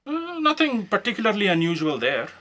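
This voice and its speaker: Bright, excitable, skeptical woman as a fact-checker. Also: mid-range voice, fairly quick. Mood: sardonic. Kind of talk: comic sci-fi audio drama acting.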